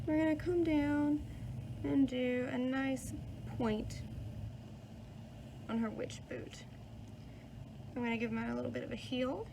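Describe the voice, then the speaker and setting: A woman's voice: sits high, steady tone, unhurried, vocal fry, long pauses, noisy background. A woman teacher speaking to children, reading and explaining in a step-by-step, storytelling way. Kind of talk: children's read-aloud and instruction.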